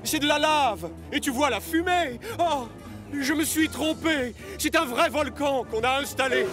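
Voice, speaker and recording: Une locomotive vantarde, voix très aiguë. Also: male voice, extremely high pitch, a little slow. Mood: alarmed. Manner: theatrical, emphatic, self-important. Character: boastful, excitable male steam locomotive, easily alarmed. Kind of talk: French-dubbed children's cartoon acting. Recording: loud music behind the voice.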